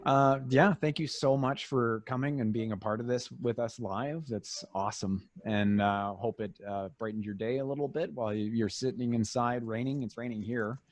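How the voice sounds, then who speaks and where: A man's voice: medium pitch, normal speed, hardly any pauses, no echo. A man chatting casually as a guest, agreeable and informal in his way of talking. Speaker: voice actor, live-stream guest. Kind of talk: casual chat.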